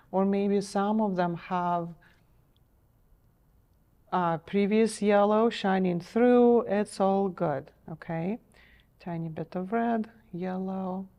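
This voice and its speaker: A middle-aged woman teaching a step-by-step painting lesson in a TV studio, gentle and encouraging, her voice a little strained as she is losing it. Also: medium pitch. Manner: gentle, encouraging, checks in with "okay?". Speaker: painting instructor.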